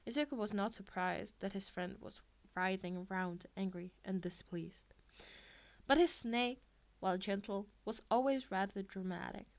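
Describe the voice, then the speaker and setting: A woman reading fiction aloud in an expressive amateur audiobook style. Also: medium pitch, normal speed. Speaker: podfic reader.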